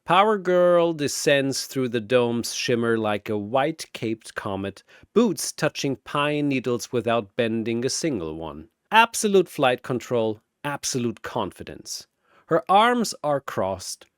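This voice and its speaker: A man's voice: mid-range voice, sing-song, takes it slowly, clean tone, dry recording, clean studio sound. A man delivering dramatic scripted battle narration like an arena announcer, theatrical and grandiose.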